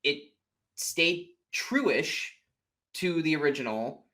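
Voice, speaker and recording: A man co-hosting a film podcast in a casual chat, animated and sweary, acting out his reactions as he retells things. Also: fairly high pitch, takes it slowly. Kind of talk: casual movie spoiler chat.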